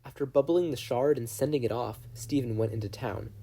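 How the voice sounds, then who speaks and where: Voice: mid-range voice, fairly quick, gravelly, warm tone, breathy edge. A narrator reading fan fiction aloud in a plain storytelling manner. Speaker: podfic reader and narrator.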